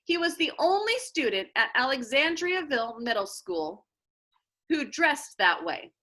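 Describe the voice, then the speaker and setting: Woman, read-aloud narrator, children's book, bright tone, very animated intonation. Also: high voice, measured pace.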